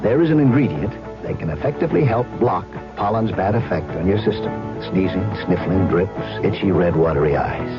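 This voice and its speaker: Low-pitched male radio ad announcer. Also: expressive, a little fast, few pauses, over loud music. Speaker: commercial announcer. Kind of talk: scripted radio advertisement. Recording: muffled vintage radio recording.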